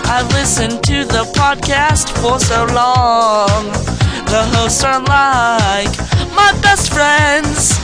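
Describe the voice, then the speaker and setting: A woman's voice: high voice, lively intonation, drawn-out words. A woman singing a fan song over a backing track. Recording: loud backing music under the vocal.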